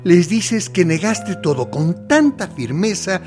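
A man's voice: high voice, big pitch swings, slow, darkish tone, faint music behind, full character voice. An actor playing a suave, menacing military interrogator in a radio drama, theatrical, falsely kind and persuasive as he coaxes and threatens. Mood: coolly persuasive.

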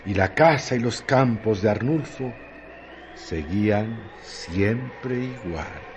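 Slow, lowish-voiced male radio narrator. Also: animated, slight background noise. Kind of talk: dramatized literary narration for radio drama.

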